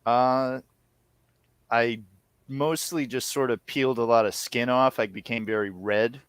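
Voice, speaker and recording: A man's voice: mid-range voice, steady tone, a little slow, smooth, no echo. A man from Connecticut talking casually as an interview guest, in a rambling, self-correcting way. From United States, Connecticut. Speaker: podcast guest, audio comedy co-creator. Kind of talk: casual chat.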